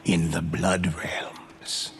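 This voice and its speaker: A man narrating video game cutscenes in a grave, measured scripted delivery. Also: deep voice, little pitch movement, vocal fry. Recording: narration over loud music.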